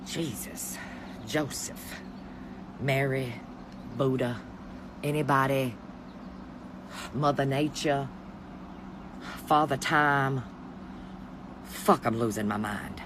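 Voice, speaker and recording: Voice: mid-range voice, takes it slowly, long pauses, noisy background. A middle-aged American commentator ranting informally in a sassy, exasperated, profane way, addressing the listener with pet names. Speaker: commentator giving opinions on the news. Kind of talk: informal opinionated rant to camera.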